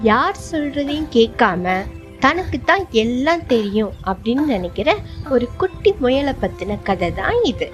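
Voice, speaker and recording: A woman storyteller narrates a children's tale in a high register. Her intonation swings expressively, and light music plays beneath her. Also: sing-song, unhurried, dull audio.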